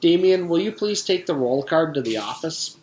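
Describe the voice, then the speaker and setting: Man voicing a brisk, higher-pitched woman schoolteacher. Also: lively intonation, a little mellow, a little hiss or hum, natural voice. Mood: businesslike. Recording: echoey, reverberant room.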